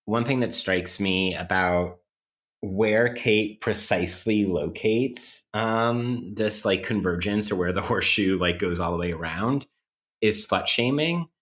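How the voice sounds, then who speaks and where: A man's voice: fairly low pitch, measured pace, fairly bright tone. A man co-hosting a podcast, talking through ideas in an analytical, academic and conversational way with many qualifications. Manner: academic, hedging phrasing. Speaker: podcast co-host.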